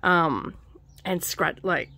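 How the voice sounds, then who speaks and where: A middle-aged woman hosting a craft vlog in casual chat, with a slightly croaky, scratchy voice, dry and tired after illness. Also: on the low side, very slow, bright, forceful tone. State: recovering from covid, tired, dry throat. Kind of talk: casual stitch-along chat. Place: small indoor craft room.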